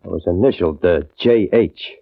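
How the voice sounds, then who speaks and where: An actor playing a rattled, beaten-up man in a 1950s radio drama, speaking haltingly in a husky voice. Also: sits low. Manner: halting, hesitant. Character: beaten-up, rattled man describing his attacker. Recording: muffled old radio broadcast.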